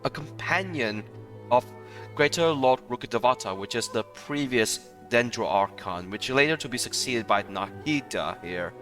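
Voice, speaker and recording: A man giving casual, rambling playthrough commentary on a game, often halting and chuckling between phrases. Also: medium pitch, animated, a bit bright, soft background music. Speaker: gaming streamer.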